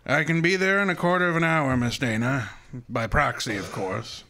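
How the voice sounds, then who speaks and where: An actor playing an imperious, pompous private detective in a radio drama, speaking formally and dryly. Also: male voice, mid-range voice, moderate pace, sharp tone. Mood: suave, dry. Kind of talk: old-time radio detective drama acting.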